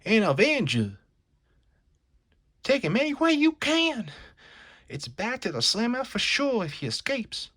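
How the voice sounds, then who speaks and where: A rough carnival henchman put on by a man in a comic-book tale. He barks slang excitably, his voice pushed up high and swinging widely. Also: unhurried, pauses often, no echo, studio quality, heavily acted. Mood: alarmed.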